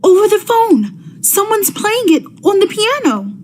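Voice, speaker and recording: Woman acting a very high-voiced, frightened widow. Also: sing-song, a little slow, darkish tone, slight background noise, heavily acted. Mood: panicked. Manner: anxious, pleading, melodramatic. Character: frightened, high-strung widow in a suspense mystery. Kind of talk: vintage radio thriller acting.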